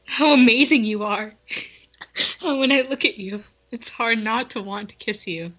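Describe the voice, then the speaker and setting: A woman's voice: on the high side, a little slow, bright, forceful tone, poor audio. A woman voicing a sarcastic, lovestruck young man, stumbling through confessions in her own voice. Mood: tender.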